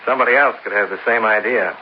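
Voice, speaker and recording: An actor playing a hard-boiled insurance investigator who narrates a 1950s radio drama in a dry, clipped way. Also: male voice, fairly low pitch, fast, natural voice. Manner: dry, clipped, matter-of-fact. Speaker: narrator and lead. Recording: hissy, dull old radio broadcast.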